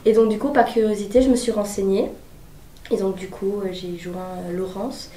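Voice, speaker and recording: A woman's voice: medium pitch, steady tone, normal speed, mellow. A woman of twenty-one, a civic service volunteer, talking chattily and stumbling over her words. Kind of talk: personal testimonial. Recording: roomy distant recording, faint noise.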